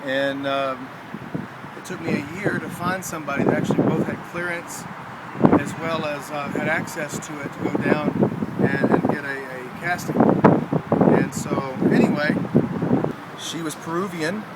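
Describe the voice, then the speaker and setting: A man talks casually to camera in a fairly high voice, showing off an item. The recording is very noisy, with vehicle and outdoor sound behind him. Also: steady tone, moderate pace. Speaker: website owner presenting an item. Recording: very noisy, traffic-like outdoor ambience.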